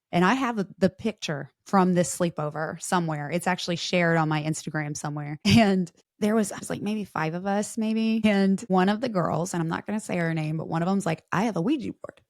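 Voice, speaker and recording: A woman in her forties tells stories in a lively, chatty way and slips into other people's voices as she goes. Her voice sits a little low for a woman. The sound is dry and close, with no room echo. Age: 40s. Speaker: podcast guest. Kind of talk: casual storytelling chat.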